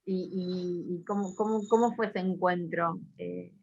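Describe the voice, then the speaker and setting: A woman's voice: lowish voice, steady tone, unhurried, vocal fry, darkish tone, a little hiss or hum. A woman interviewing guests, asking curious, probing questions in a conversational way. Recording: dull lo-fi sound.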